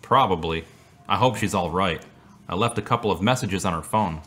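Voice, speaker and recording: A man acting a mild, apologetic, dryly self-pitying boyfriend in a low-key radio drama performance. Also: a little fast, a bit bright. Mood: deflated. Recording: clearly noisy, clicky amateur recording.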